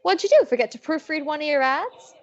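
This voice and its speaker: A woman's voice: sits high, animated, fairly quick. A woman playing a breezy, wisecracking private eye, narrating and acting in an old-time radio detective show. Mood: teasing. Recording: dull lo-fi audio.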